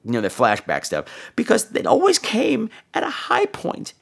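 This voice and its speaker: A man's voice: high voice, wildly swooping pitch, normal speed, gravelly, husky. A man hosting a casual podcast, theatrical, sarcastic and foul-mouthed, speaking while sick with flu and laryngitis. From New York. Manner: sarcastic, profane, mock-offended. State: ill with flu and laryngitis, on cold medicine. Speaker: podcast host. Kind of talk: casual chat, listener feedback segment.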